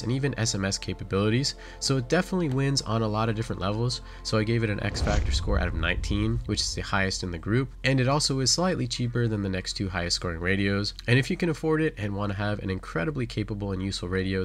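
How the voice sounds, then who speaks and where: A man reading scripted product review narration in an explanatory, methodical way. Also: medium pitch. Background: background music.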